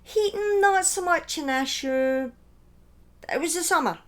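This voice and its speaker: A woman telling a true-crime story in a conversational, partly scripted way, with informal asides, opinions and halting phrasing. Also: extremely high pitch, fairly bright tone.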